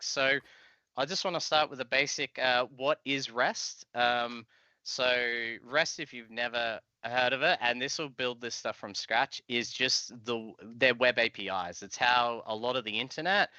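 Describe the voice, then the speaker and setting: A man's voice: medium pitch, sharp tone, clear, resonant tone, dry recording. A man in his forties with an Australian accent, giving an informal, chatty technical talk as a presenter on a video call. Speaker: guest presenter, software trainer. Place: indoor room, video call. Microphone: headset. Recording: slightly dull headset audio.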